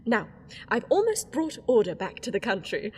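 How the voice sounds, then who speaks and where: A woman's voice: a little high, huge pitch swings, normal speed, darkish tone, a little hiss or hum. A woman playing a scheming, mocking villainous empress in an audio drama. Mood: taunting. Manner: taunting, sing-song, petulant. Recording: reverberant room, faint ambience.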